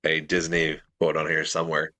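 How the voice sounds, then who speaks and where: A man's voice: a bass voice, completely flat delivery, moderate pace, pushed and bright, no echo. A man co-hosting a casual podcast who defends his list with rambling, restarting explanations. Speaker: podcast co-host. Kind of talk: casual debate chat.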